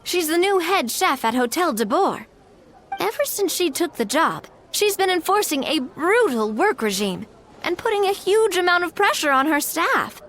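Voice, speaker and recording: A lively, kind young ally. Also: female voice, very high voice, normal speed, a little hiss or hum, heavily acted. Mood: explaining. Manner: warm, reassuring, conversational. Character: friendly young woman, kind and easygoing ally. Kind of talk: game voice acting.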